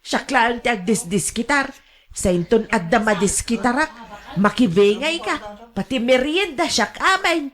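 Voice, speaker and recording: High-pitched brash older mother played by a woman. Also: expressive, measured pace, a bit bright. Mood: bossy banter. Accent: Filipino. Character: brash, scolding older mother who gambles and begs money. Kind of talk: Ilocano radio drama acting.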